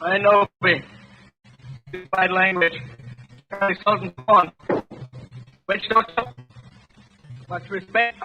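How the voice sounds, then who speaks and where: A man playing a respectful chief's son who speaks halting broken English in an old-time radio drama. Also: high voice, fairly even intonation. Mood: deferential. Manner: halting, clipped phrases. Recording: muffled vintage radio recording, music behind.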